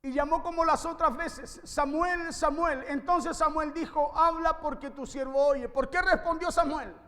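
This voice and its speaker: A male preacher delivers an impassioned sermon in a very high, raised voice. He exhorts his listeners directly, hammering phrases home through repetition. Long reverb from the hall trails his words. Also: fairly even intonation, a little slow, a bit bright. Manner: exhorting, repeats phrases for emphasis. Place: large reverberant room, preaching to a congregation.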